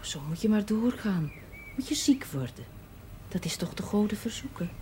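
A woman acting a bossy but caring member of the household in a television period drama, scolding in a brisk, motherly way. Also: mid-range voice, animated, dark tone, a little hiss or hum, close to an everyday voice.

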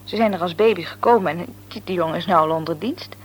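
A woman's voice: on the low side, fast, breathy edge. An actress playing a chatty, frank, opinionated woman in a Dutch radio play, with an emphatic, reassuring manner. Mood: chatty, earnest. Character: Maartje, chatty, frank, sociable sister-in-law and family friend. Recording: radio drama recording.

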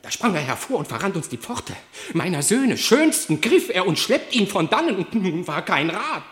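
A man's voice: high-pitched, very animated, fairly bright tone. Plaintive, wildly swooping rooster father. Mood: anguished. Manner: declamatory, plaintive, with drawn-out words. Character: grieving old rooster father pleading before his king. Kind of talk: dramatic verse radio play monologue.

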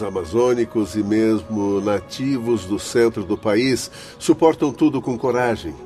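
A Brazilian man playing a grave, compassionate learned spirit doctor in a radio drama, speaking in a solemn, measured, formal way. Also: lowish voice, mellow.

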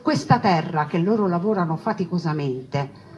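A woman telling a story as a theatrical monologue, conversational and emphatic, with deliberate repetitions and asides to her listeners. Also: fairly low pitch, animated, a little mellow. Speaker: storyteller, stage narrator. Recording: dull lo-fi recording with clear background noise.

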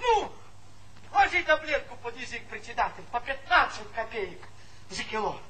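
A feisty old village grandfather with a very high, husky voice. It is piercingly bright and histrionic, full of repeated outraged exclamations in broad Soviet stage comedy. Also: very animated, moderate pace, heavily acted, rough recording. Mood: sarcastic, urgent. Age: older.

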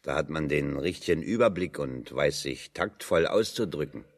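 A man's voice: rumbling low, expressive, normal speed, full character voice. An actor playing a down-to-earth working-class man in a German radio drama, dry, plain-spoken and slyly needling. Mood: dryly needling.

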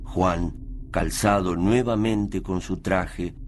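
A grave storyteller, narrates a scripted war tale for radio drama. His voice is very low, set against clearly audible background noise. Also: steady tone, slow. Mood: measured, grave. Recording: noisy background.

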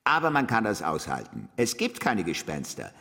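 An actor playing a combative, exuberant philosopher, impatient and scornful, speaking emphatically in a German radio drama. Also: male voice, lowish voice, wildly swooping pitch, moderate pace, sharp tone, full character voice. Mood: rousing, defiant. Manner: emphatic, declamatory. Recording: long hall reverb.

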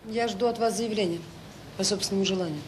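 An actress in an audio drama playing a firm, principled head of a children's home, speaking sternly. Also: female voice, mid-range voice, fast talker, a little mellow, natural voice. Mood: firm, restrained. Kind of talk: Soviet radio drama acting. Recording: echoey, noisy radio-play recording.

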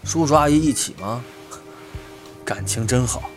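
Male actor as considerate young boy-band member, mid-pitched voice, friendly manner, audio drama with music behind. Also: animated, moderate pace, close to an everyday voice. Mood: gentle, caring.

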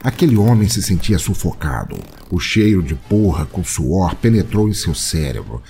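A man's voice: deep voice, big pitch swings, normal speed, dark tone, soft background music. A man delivering scripted narration as the storyteller of an audio drama.

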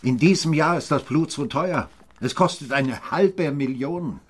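An actor playing a stern, world-weary small-town official, measured and deliberate, in a German radio play. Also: male voice, on the high side, breathy edge, no echo. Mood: stern, probing.

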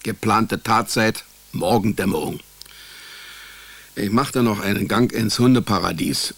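An actor playing a wry, hapless male private detective, deadpan in his narration and stammering when cornered, in a radio drama. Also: lowish voice, no room sound. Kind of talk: radio drama, first-person hardboiled comic narration.

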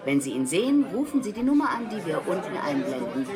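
Casting: TV crime-special presenter, formal broadcast interviewing style, very animated intonation, radio drama. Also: female voice, lowish voice, quick pace, music in the background, crowd noise. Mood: urgent appeal.

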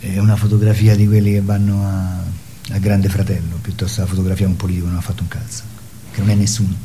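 A man arguing his opinions in an impassioned, polemical conversation, with halting, repetitive phrasing. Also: deep voice, level tone, warm tone, slight background noise.

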